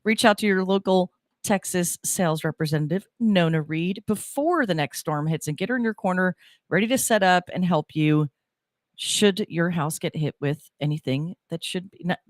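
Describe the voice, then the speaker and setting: A woman's voice: on the low side, moderate pace, dry recording, studio quality. A woman hosting a community radio show in a chatty, upbeat way, her nose stuffy. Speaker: radio host.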